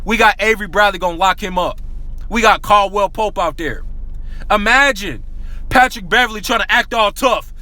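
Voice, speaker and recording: A man giving an excited, slangy basketball rant to his viewers, repeating himself often and saying he is losing his voice. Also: sits high, moderate pace. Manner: excitable, repetitive, slangy, addresses viewers as y'all. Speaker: sports talk vlogger. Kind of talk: hyped casual basketball rant.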